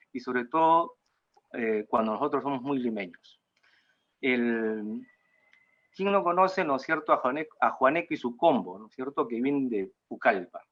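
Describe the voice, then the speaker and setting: A mature man with a Peruvian accent gives an informal talk in a halting way, often tagging phrases with a question seeking agreement. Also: mid-range voice, dull audio. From Peru. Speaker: guest speaker at a cultural talk. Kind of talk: informal lecture in an online talk.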